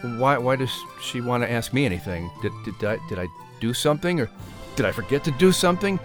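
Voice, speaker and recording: A man's voice: mid-range voice, expressive, fairly quick, music in the background, voice pushed down, natural voice. A man playing a nervous, fretful announcer in comic children's podcast banter, stammering and flustered.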